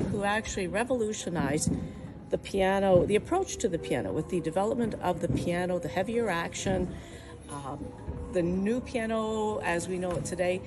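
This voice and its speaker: A woman from a music publisher, giving a measured, informative product pitch at a convention booth. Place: convention exhibit hall.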